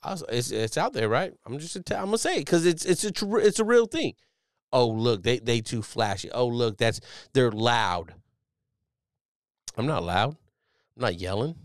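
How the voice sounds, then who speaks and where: Loud, animated man with a strong voice. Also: mid-range voice, normal speed, dry recording, clean studio sound. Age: millennial, 30s. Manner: animated, profane, loud and emphatic. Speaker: podcast host, Navy chief. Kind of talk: casual solo podcast commentary.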